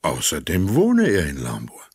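A man with a deep voice narrates a radio drama. His delivery is measured, formal and literary, recorded dry without room sound. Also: wildly swooping pitch, darkish tone, breathy edge, no room sound.

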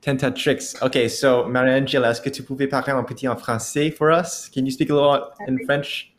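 A man's voice: medium pitch, fairly even intonation, a little fast. Young guy hosting a video call, chatty and laughing a lot, earphone mic at home. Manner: friendly, chatty, laughs often. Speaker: host. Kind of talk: casual chat. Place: home room.

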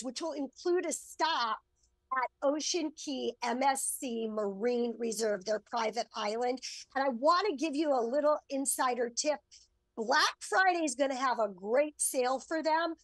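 A woman's voice: high voice, dry recording, poor audio. A middle-aged woman speaking enthusiastically as a travel expert, giving an upbeat promotional segment. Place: on board a cruise ship, remote link.

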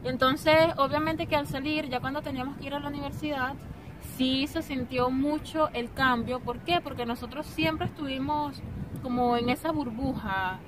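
A young woman with a Venezuelan accent hosting a casual outdoor interview, talking with lively hand gestures. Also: sits high, normal speed. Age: young adult. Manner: animated, gestures with both hands while talking. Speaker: vlogger host. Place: outdoors on stone steps of an ancient theatre. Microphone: camera mic from a few meters away. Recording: distant outdoor camera audio with street noise.

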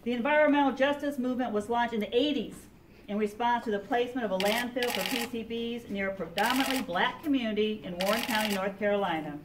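A middle-aged woman, a chaplain and activist, giving emphatic, deliberate public testimony at a meeting. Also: a little high. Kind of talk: public testimony, reading a statement and appealing. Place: public library meeting room, indoors. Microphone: camera a few metres away. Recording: echoey meeting room, distant camera, faint noise.

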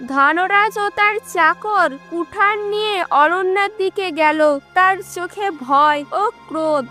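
Slow, high, bright-voiced woman narrator. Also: faint music behind. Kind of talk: scripted storybook narration.

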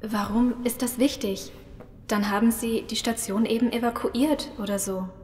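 An actress plays a nervous young-sounding woman in a zombie radio play. Her fairly high voice asks questions in everyday words, with long reverb and faint noise. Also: fairly even intonation, normal speed, darkish tone, lightly acted. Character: nervous female zombie apocalypse survivor. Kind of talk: audio drama acting.

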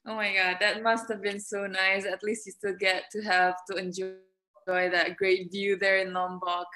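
A woman's voice: medium pitch, level tone, normal speed, pushed and bright. A young woman moderating a live online talk over a laptop webcam, upbeat and reading announcements. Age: young adult. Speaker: moderator host. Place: indoor room. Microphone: laptop webcam on video call.

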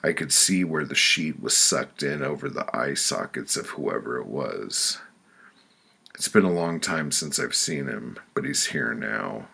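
A man reading his own writing as audiobook narration, in short phrases with deliberate pauses. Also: expressive, pushed and bright. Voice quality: very deep, gravelly, husky. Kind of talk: scripted audiobook narration of poetic memoir.

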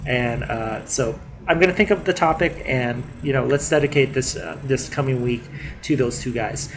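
A man's voice: medium pitch, normal speed, low-quality recording. Chatty man, slightly nasal, webcam vlog. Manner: chatty, many fillers and restarts. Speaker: vlogger. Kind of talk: casual video diary. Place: living room indoors. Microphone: webcam at desk distance.

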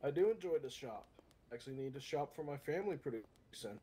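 Polite, higher-voiced man in game roleplay. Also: animated, fast talker, dark tone, slightly rough. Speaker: roleplayer playing a state police officer. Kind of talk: improvised online game roleplay.

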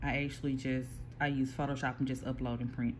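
A mid-pitched, easygoing voice explains a computer workflow one step at a time, pausing and restarting as it goes. It sounds picked up by a phone held toward a screen in an ordinary room. A faint hum of background noise sits under the speech. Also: level tone. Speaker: small print shop owner giving a software tutorial. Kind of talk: casual screen-recorded tutorial. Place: indoor workspace at a computer. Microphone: phone filming a computer screen.

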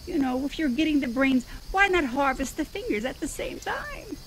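Older lady doing a chirpy zombie grandma cook, highish voice, crickets faint behind her in the yard. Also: sing-song, normal speed, a little hiss or hum, poor audio. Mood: chatty. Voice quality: prim, chatty hostess manner with digressions. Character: chatty elderly zombie woman cook, genteel and cheerful. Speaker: zombie cooking show host. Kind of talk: improvised comedy sketch. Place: outdoors in a backyard.

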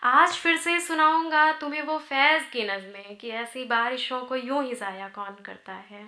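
A woman recites verse like a story, in measured lines. Her voice is clear-cut, bright and a little high, carried through a podium microphone into an echoey auditorium. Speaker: poet. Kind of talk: live poetry recitation, narrative free verse. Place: indoor auditorium stage at a podium. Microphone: gooseneck podium microphone, close.

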